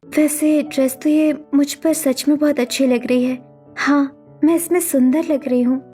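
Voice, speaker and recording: A woman's voice: high voice, steady tone, normal speed, dark tone, faint music behind, natural voice. A woman voicing a hurt, self-doubting young sister-in-law in a dramatic cartoon story, with a plaintive, defensive manner. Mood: pleasantly surprised.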